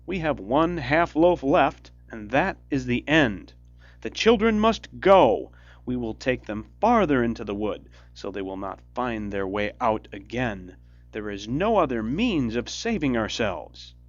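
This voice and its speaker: A man voicing a harsh, scolding mother in a fairy tale, with a sharp, commanding delivery. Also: medium pitch, expressive, measured pace, no echo, dull audio, soft background music, lightly acted. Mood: urgent, hard. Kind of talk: fairy tale storybook reading.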